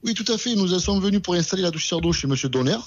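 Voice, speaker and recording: A man phones in as a company director and rushes to explain himself, repeating words as he goes. His fairly high voice comes through a dull, lo-fi phone line. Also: expressive.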